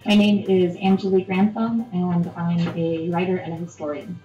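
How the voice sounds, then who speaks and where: A woman's voice: on the low side, little pitch movement, normal speed. A young woman, a writer and historian, explaining history in an interview. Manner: explanatory, informative. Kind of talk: interview answers. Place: indoors, in a cluttered workroom. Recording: roomy and dull from a distant mic, with music.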